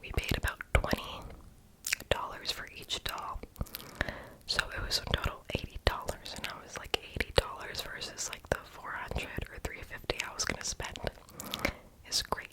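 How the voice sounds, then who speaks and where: A person whispering a casual, rambling ASMR voiceover in a breathy, unvoiced voice, often stopping to correct themselves. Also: normal speed, rough recording. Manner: casual, rambling, hesitant self-correcting talk. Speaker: ASMR video creator.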